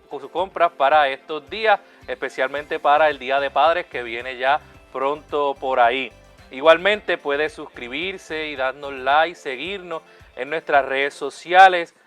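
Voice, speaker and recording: A man's voice: on the high side, a bit bright, smooth. A man hosting a religious online show as a friar, often tagging phrases with a questioning check. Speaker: host, Capuchin friar.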